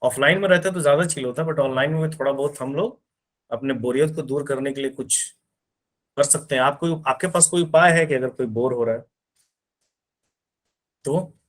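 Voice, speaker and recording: A man's voice: medium pitch, normal speed, long pauses. A man teaching physics in an online class, explaining step by step and often checking that his students understand.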